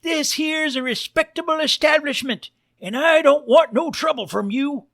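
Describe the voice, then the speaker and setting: A man's voice: extremely high pitch, normal speed, vocal fry, bright, forceful tone, pitched above the performer's own voice, full character voice. A man voicing a polite, nervous pig barkeep in a western storybook, with a folksy American old-west drawl. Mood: anxious, polite. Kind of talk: cartoonish western storybook character voice.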